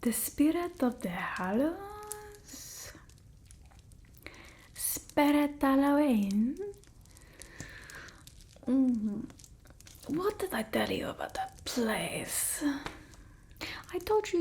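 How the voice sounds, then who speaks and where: A woman voicing a haughty, fussy vampire mistress in a breathy ASMR roleplay, with an imperious, complaining manner. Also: sits high, very animated, slight background noise, exaggerated voice. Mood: scolding. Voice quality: soft, breathy whisper. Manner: slow, imperious, fussy complaining with drawn-out words. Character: haughty, fussy female vampire mistress scolding her human familiar. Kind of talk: ASMR roleplay, mostly whispered.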